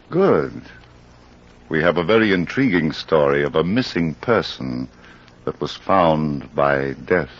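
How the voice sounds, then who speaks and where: An American man hosts a 1940s radio show in formal scripted style. His very low voice is unhurried yet swoops expressively. The recording is old, muffled and slightly noisy. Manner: measured, formal. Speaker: radio anthology host.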